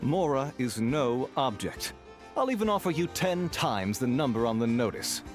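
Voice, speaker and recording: An actor playing a smug, courteous, scheming business dealer, suave and formal, in a video game. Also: male voice, mid-range voice, big pitch swings, normal speed, natural voice. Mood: smooth persuasion. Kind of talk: theatrical video game acting. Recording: game music behind.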